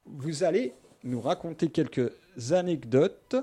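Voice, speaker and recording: Chatty male radio host, mellow voice. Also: on the high side, lively intonation, unhurried, slightly rough. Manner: chatty, leisurely, filler-heavy. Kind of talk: casual radio show hosting. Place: radio studio.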